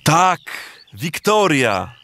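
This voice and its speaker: An actor playing a jovial, teasing village neighbour in a rhymed children's radio play, with playful theatrical exclamations. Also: male voice, fairly high pitch, big pitch swings, very slow, pushed and bright, a little hiss or hum, exaggerated voice. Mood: coaxing. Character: teasing, jovial village neighbour man urging a bachelor to marry.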